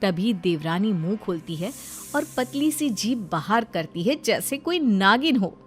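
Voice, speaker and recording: A woman's voice: mid-range voice, sing-song, unhurried, husky. A woman narrating a scripted cartoon story.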